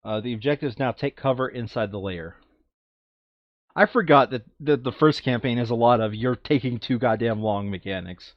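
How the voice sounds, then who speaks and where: A man's voice: medium pitch, moderate pace, no echo, lo-fi sound. A man playing a board game, chatting casually and bluntly with some swearing and reading game text aloud. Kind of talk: casual game table chat, some read-aloud narration.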